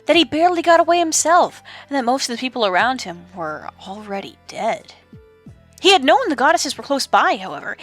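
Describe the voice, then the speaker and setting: A woman narrating a scripted mythological tale as a storyteller, in an expressive, deliberate manner with dramatic pauses. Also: mid-range voice.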